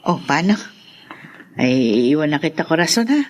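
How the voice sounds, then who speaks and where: An actress playing a breezy, chatty, helpful older friend in a radio drama. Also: female voice, low voice, sing-song, moderate pace. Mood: breezy, friendly.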